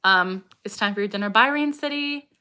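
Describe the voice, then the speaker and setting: A young woman in her twenties with a bright, clear voice, hosting a relaxed livestream Q&A. She chats with viewers and greets them one by one, breaking into laughter and sudden vocal tics along the way. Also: medium pitch, a little fast. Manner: chatty, greets viewers by name. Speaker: livestream host. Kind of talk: casual livestream Q&A, reading chat aloud.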